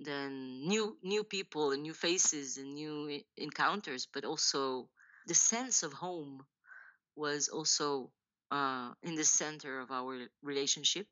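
Woman, forties, from Israel, low voice, bright tone, halting, dull lo-fi audio. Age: 40s. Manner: thoughtful, halting, many restarts and fillers, laughs often. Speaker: novelist guest. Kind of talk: podcast interview, personal storytelling.

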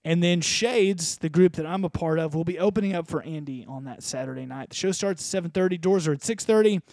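A man's voice: on the high side, quick pace, a little mellow, no echo. A man co-hosting a church podcast, giving upbeat event announcements. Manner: upbeat promotional. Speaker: podcast co-host.

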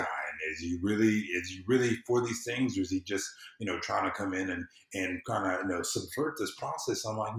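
A man with a fairly low voice speaks in a roomy, echoing space. He tells his experience as a podcast guest in an informal, earnest way, often restarting his sentences as he works through a thought. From US South. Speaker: podcast guest, civic consultant and former candidate. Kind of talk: conversational interview, personal storytelling.

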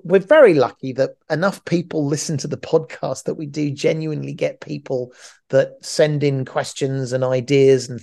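A man's voice: fairly high pitch, creaky, darkish tone. A middle-aged man co-hosting a podcast, chatting casually in a rambling, joking way and often restarting his phrases. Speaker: podcast co-host.

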